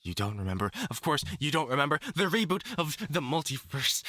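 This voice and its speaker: A man's voice: a little high, sing-song, brisk, sharp tone, dry recording, studio quality. A man playing an obsessive, lovesick furred supervillain in a dramatic audio roleplay, speaking intimately to the listener with theatrical endearments. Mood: anguished pleading. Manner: pleading, stammering, theatrical endearments. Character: obsessive lovesick male supervillain with fur, desperate and menacing.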